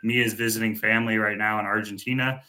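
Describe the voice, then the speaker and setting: A man from Minnesota hosts a relaxed podcast, full of ums and friendly ribbing. His tone is bright and forward. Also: on the low side, fast. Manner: easygoing, filler-heavy, friendly teasing. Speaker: podcast host. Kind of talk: casual podcast hosting.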